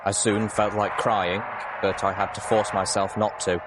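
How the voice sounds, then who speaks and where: A man reading a story aloud as a scripted, expressive narration. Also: on the low side, moderate pace, loud music behind the voice, poor audio. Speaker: audiobook reader.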